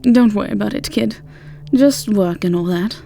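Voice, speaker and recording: A woman's voice: mid-range voice, normal speed, creaky, warm tone, soft background music, close to an everyday voice. A woman voicing a playful, cocky, teasing woman with a brash, mischievous manner in a fantasy audio roleplay. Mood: breezy.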